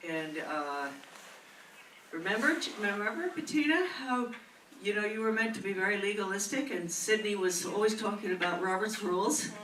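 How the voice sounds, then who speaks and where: A woman's voice: mid-range voice, expressive, normal speed, a bit bright, far from the mic. An older woman giving a rambling, chatty speech of memories to a gathering, often asking a friend to remember. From Maine. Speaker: honoree's friend and speaker. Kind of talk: casual reminiscing speech. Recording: echoey room picked up from afar.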